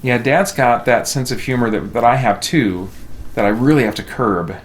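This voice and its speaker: A man's voice: mid-range voice, normal speed. A man, sounding middle-aged, chatting casually as he streams a video game, with a jokey, self-deprecating manner. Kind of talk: casual chat while playing a video game.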